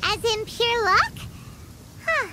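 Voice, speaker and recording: A woman voicing a tiny, excitable, boastful fairy girl companion in a video game, with a squeaky childlike voice. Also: slow, noisy background, exaggerated voice. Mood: puzzled, unimpressed. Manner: talks about herself in third person, perky. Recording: loud music under the voice.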